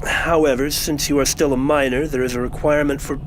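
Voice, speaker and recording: A woman playing a gentle, measured professor and guardian in a science fiction audio drama. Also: rumbling low, steady tone, slight background noise. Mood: careful, concerned.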